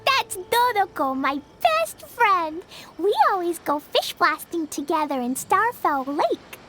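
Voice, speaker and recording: An actress voicing a sweet, innocent, excitable little girl in a video game, childlike and earnest. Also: very high-pitched, takes it slowly, slight background noise, exaggerated voice. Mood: shy, proud. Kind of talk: English video game voice acting.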